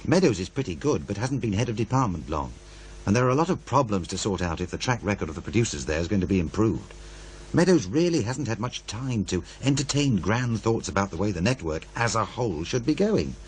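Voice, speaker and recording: An actor playing a wry, needling radio producer who muses and insinuates in radio drama dialogue. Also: male voice, fairly low pitch, sing-song, fairly quick, a little mellow, slight background noise. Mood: wry, cautioning.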